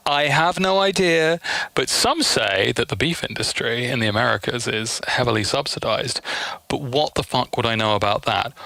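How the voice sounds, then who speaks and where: A man's voice: mid-range voice, vocal fry, sharp tone, no echo. A man hosting a livestream, chatting casually to his audience in a sweary, effusive, theatrically exasperated way. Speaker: livestream host.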